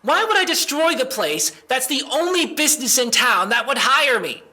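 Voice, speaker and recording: A man's voice: very high voice, very animated, normal speed, bright, forceful tone, heavily acted. A man playing a desperate, defensive young man under questioning in an audio drama, stammering and exasperated as he speaks. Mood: panicked, defensive.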